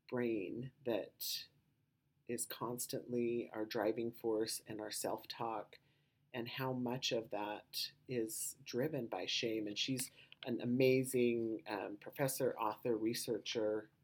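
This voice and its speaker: Woman podcast host, low voice, husky, rambling casual talk. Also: steady tone, slow pace. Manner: rambling, lots of "you know" and "um" asides.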